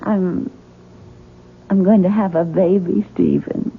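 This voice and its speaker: An actress playing a devoted, anxious young wife in a 1970s radio drama, husky, tender and hesitant. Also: female voice, medium pitch, steady tone, slow pace, a little hiss or hum. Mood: pleading, tender. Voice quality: husky, breathy. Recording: muffled, narrow-band vintage radio recording.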